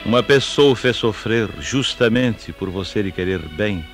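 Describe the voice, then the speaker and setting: A man giving solemn, scripted devotional narration with reverent, measured, prayerful phrasing. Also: lowish voice, lively intonation, faint music behind.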